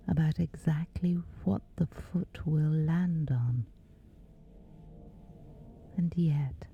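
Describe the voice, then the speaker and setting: A woman guiding a sleep meditation in a hushed, breathy near-whisper, pausing between short phrases. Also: low-pitched, dull audio. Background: background music.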